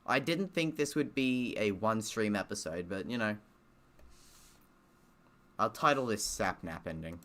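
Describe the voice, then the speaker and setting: A man with a fairly low, forceful voice streams a game. He talks casually, swears, jokes around and reads on-screen story text aloud as he comments. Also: lively intonation, unhurried, pauses often. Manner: sweary, joking. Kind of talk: casual gaming commentary, reading visual novel text aloud.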